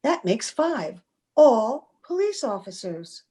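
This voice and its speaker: Woman acting an anxious high-voiced young sweetheart. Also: very animated, takes it slowly, dark tone. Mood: earnest, troubled. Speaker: amateur radio play actor. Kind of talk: old-time radio drama acting. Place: home, over a video call.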